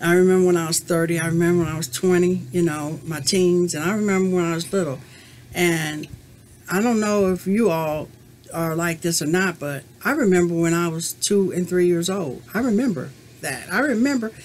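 A woman's voice: fairly low pitch, normal speed, a little mellow, a little hiss or hum. A woman in her fifties answering tag questions in a casual, rambling, reflective way, talking to a webcam in a small room at home. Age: 50s. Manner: rambling and reflective, many "you know" fillers. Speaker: crochet vlogger answering a tag. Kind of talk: casual chat to camera. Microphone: webcam at desk distance.

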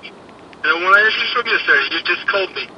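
A man's voice: high-pitched, fairly quick. A male customer service agent taking a call, polite and patient but puzzled. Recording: muffled phone line with faint hiss.

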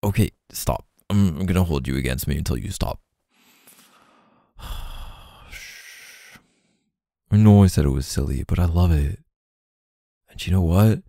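Very deep, tender boyfriend acted by a man. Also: creaky, dark tone, dry recording, clean studio sound, exaggerated voice. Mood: pleading, earnest. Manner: tender, halting, sighing between phrases. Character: gentle, apologetic, doting boyfriend comforting his partner. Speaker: boyfriend roleplay audio performer. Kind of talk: intimate ASMR boyfriend roleplay.

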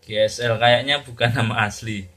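A man's voice: mid-range voice, fairly even intonation, moderate pace. A man in his thirties with a Javanese regional Indonesian accent, chatting casually as an amateur radio operator and channel host in a small room at home. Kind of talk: casual two-way radio chat to camera. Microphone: camera at arm's length, handheld radio microphone in hand. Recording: noisy room recording with clicks.

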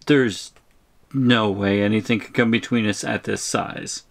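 Man playing an exasperated, creaky-voiced shrunken captive. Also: on the low side, steady tone, measured pace. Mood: firm, coaxing. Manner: firm, incredulous, negotiating. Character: exasperated, tiny shrunken man reasoning with his captor. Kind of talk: audio roleplay acting.

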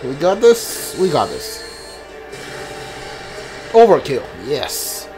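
A man's voice: a little high, huge pitch swings, drawn-out words, warm tone. A man giving casual gameplay commentary, muttering his moves aloud as he thinks them through. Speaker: gamer playing through a role-playing game. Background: game music.

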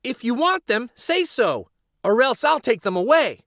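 Clever small boy, played by a male reader; high, bright, lively pleading. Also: unhurried, dry recording, studio quality, full character voice. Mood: cheeky. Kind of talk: storybook reading.

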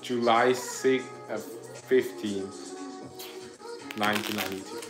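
A man's voice: lowish voice, steady tone, slow, echoey room, over music. A man in his twenties from Austria, hosting a casual livestream from a couch, chatting upbeat and thanking viewers for donations. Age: 20s. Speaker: livestream host. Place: living room couch indoors. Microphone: phone camera propped in front.

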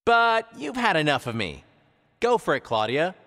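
A man voicing an upbeat animated quiz show host, with theatrical, emphatic scripted announcing. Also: on the high side, moderate pace. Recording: large hall reverb, faint crowd noise.

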